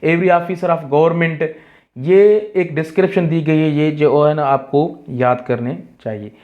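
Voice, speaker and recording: A man's voice: on the high side, fairly even intonation, measured pace, darkish tone, lo-fi sound. A man with a Pakistani accent giving an explanatory lesson as a tutor, reading out definitions and then explaining them didactically with repeated key phrases. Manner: mixes Urdu-Hindi with English, didactic, repeats key phrases. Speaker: law exam tutor.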